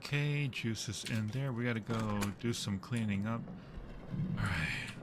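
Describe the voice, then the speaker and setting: A man's voice: on the low side, measured pace, noisy background. A man casually commentating a horror game as a live streamer, joking and muttering to himself in a playful way.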